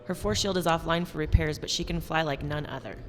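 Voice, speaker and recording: Woman acting a dock mechanic, slightly low voice, casual, familiar, friendly, sci-fi audio drama. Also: fairly even intonation, fairly quick, lightly acted. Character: easygoing spaceship dock mechanic, friendly to the pilot.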